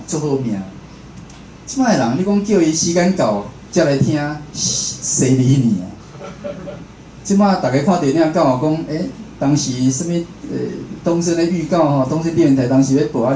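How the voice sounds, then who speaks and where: A Taiwanese man talks to an audience in an informal, conversational way. His voice is mellow and of middling pitch. He repeats phrases and puts questions to listeners, and the room adds echo. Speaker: radio host and station head giving a talk. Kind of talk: informal public lecture. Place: indoor room, speaking to an audience. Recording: roomy echo, faint background noise.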